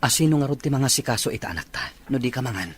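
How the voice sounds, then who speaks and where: A man's voice: mid-range voice, lively intonation, racing, slight background noise, close to an everyday voice. A man playing a worried young husband and father in an Ilocano audio drama, earnest and concerned. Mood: concerned, coaxing.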